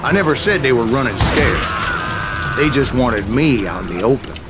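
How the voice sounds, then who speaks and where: A man acts out an old gunslinger from a Western game, boastful and folksy. He speaks at a middling pitch in an American Western drawl, savoring his storytelling, with music loud behind him. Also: animated, moderate pace, natural voice. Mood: recounting, firm.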